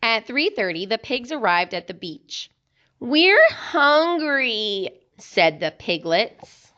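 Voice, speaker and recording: A woman reading a children's book aloud in an expressive, deliberate storytelling style. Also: medium pitch, lo-fi sound.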